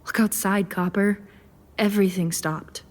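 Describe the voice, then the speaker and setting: A woman's voice: medium pitch, steady tone, unhurried, close to an everyday voice. Woman playing a blunt, sarcastic, impatient friend. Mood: intent. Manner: dry, confrontational. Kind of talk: audio drama dialogue.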